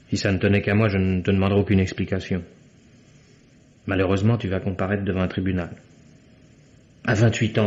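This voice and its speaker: A dull, old-fashioned radio recording carries a young man with a fairly low voice. He is a loyal, earnest friend and lawyer who speaks emphatically, in the theatrical manner of a fifties radio play. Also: slightly rough. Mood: urgent, pleading. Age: young adult. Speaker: radio drama actor. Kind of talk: 1950s French radio drama acting.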